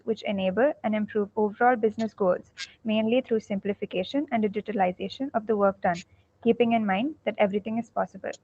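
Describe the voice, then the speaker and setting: A woman host reading out a formal speaker introduction in a measured way. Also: mid-range voice, darkish tone. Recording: dull lo-fi online audio.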